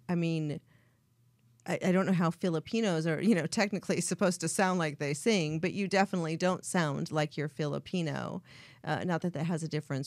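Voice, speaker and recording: A woman's voice: sits low, darkish tone, no echo, studio quality. A woman hosting a casual talk show, rambling and giggling, with a strong voice and a constant "you know". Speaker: host. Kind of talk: casual chat.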